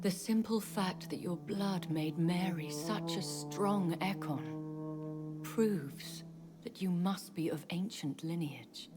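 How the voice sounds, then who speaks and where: A woman's voice: lowish voice, slow pace, over loud music. An actress playing a refined, secretive aristocratic vampire lady in a video game, formal and composed. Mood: concerned, measured. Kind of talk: dramatic video game acting.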